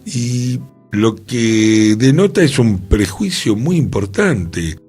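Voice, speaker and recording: Slow, husky Rioplatense radio man. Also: mid-range voice, sing-song, darkish tone, faint music behind. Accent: Rioplatense Spanish. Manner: deliberate, measured, repeats words for emphasis. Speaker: radio show co-host. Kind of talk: cultural radio discussion.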